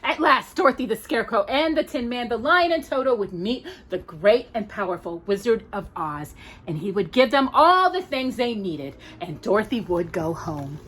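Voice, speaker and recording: A woman telling a children's story in an expressive, playful way, with casual asides. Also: medium pitch, moderate pace, a little hiss or hum.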